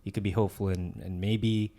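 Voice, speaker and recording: A man who is a podcast guest, telling a story in a halting, soft-spoken way with many restarts. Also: low voice, little pitch movement. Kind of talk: casual storytelling.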